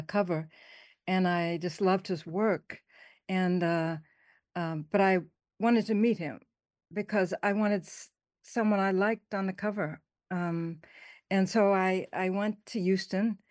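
Quiet-voiced middle-aged woman, casual interview guest, halting and laughing, dry close recording. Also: on the low side, no room sound. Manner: soft-spoken, laughs often, halting with many fillers. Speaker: poet and author, interview guest.

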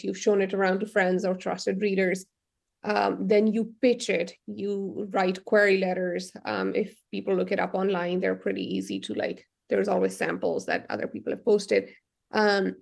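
A young woman, her voice often dropping into a gravelly fry. She answers a radio host's questions thoughtfully, pausing with frequent ums and restarting her sentences as she works out what to say. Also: medium pitch, a little mellow. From Pakistan. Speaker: novelist, radio guest.

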